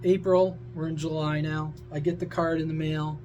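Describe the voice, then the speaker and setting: A man's voice: a little high, little pitch movement, moderate pace, a little mellow, muffled recording, faint music behind. A man talking to viewers in a casual, opinionated rant, blunt, sweary and rambling, like a hobby radio vlogger.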